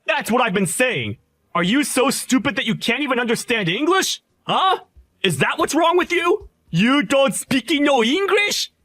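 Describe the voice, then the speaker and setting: A shrill, sneering young bully of a boss, as a man puts him on, his voice shooting high and swinging wildly as he hurls insults. The sound is bone-dry and close-miked, with no room at all. He is loud, contemptuous and full of himself. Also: wildly swooping pitch, normal speed, no room sound, heavily acted. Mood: furious. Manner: yelling insults. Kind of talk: voiced story drama.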